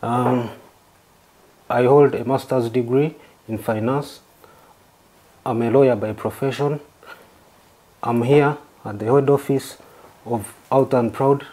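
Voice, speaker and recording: A man from southern Uganda with a Ugandan accent, answering interview questions in halting, formally worded phrases. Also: mid-range voice, fairly even intonation, warm tone. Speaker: interview guest.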